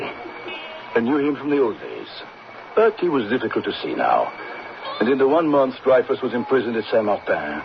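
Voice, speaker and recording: An actor playing an impassioned crusading French novelist, narrating gravely and theatrically in a radio drama. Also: male voice, lowish voice, noisy background. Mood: reflective. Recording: muffled old radio recording, music behind.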